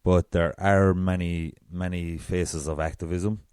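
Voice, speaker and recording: An Irish man with a very deep voice hosting a solo podcast, chatty and self-deprecating. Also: little pitch movement, measured pace, darkish tone, clear, resonant tone, dry recording, clean studio sound. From south of Ireland. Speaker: podcast host.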